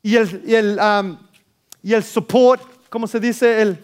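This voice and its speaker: A man's voice: high voice, steady tone, unhurried, smooth. A man whose first language is English, preaching an emphatic sermon and calling out to the congregation.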